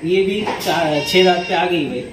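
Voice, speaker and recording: A man with a fairly high voice gives short, matter-of-fact sales details. He is recorded from a few steps away in a roomy, echoing shed with loud noise all around. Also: measured pace. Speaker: livestock seller. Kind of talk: casual sales talk, short answers. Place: open-sided livestock shed. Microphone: camera a few steps away. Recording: very noisy, echoey shed, off mic.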